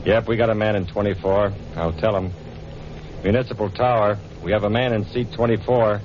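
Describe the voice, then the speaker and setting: An actor playing a businesslike airline pilot in a 1940s radio drama, clipped and matter-of-fact. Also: male voice, lowish voice, steady tone, a little fast, a little mellow, natural voice. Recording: muffled vintage radio, clear cockpit-like noise.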